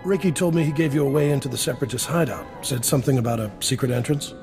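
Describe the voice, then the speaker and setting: A man playing a gruff, wry and harried underworld boss in a video game. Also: mid-range voice, very fast, darkish tone, lightly acted. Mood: hearty, wry. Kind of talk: scripted video game acting. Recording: game music behind the voice.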